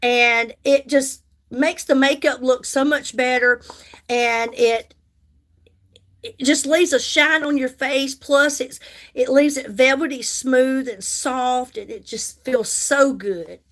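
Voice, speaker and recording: Slow, high-voiced woman in her fifties. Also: steady tone, a bit bright. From United States. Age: 50s. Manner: chatty, reads and answers viewer comments, repeats herself for emphasis. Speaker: makeup livestream host. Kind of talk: casual livestream chat while doing makeup.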